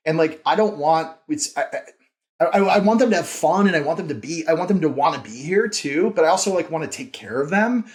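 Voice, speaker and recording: A man's voice: on the high side, lively intonation, fairly quick. A man speaking as a founder and podcast guest, informal and conversational, repeating phrases for emphasis and leaning on "like". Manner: informal, frequent "like", emphatic repetition. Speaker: company founder and CEO, interview guest.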